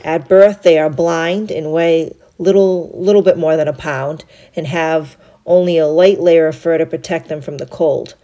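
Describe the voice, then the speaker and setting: A woman hosting a solo podcast, stuffed up from a cold, reading through a list in a steady, even way with small asides. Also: low-pitched, normal speed, few pauses. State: congested from a cold. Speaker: podcast host.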